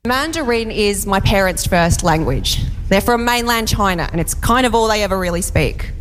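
A woman comedian tells a story on stage in a dry, deadpan way. Her voice carries a long reverb from the hall. Faint room ambience sits underneath. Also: medium pitch, normal speed, slight background noise. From Australia. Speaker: stand-up comedian. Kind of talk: stand-up comedy set.

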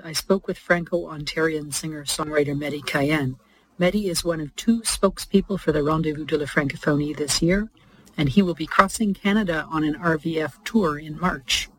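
A woman's voice: deep voice, husky, low-quality recording. A woman hosting an interview podcast, reading introductions and putting questions to a guest.

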